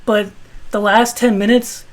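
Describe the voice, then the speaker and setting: A man in his twenties with a high-pitched voice, reviewing his film collection in a rambling, casual way with some swearing. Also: level tone, a little slow. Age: 20s. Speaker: video host.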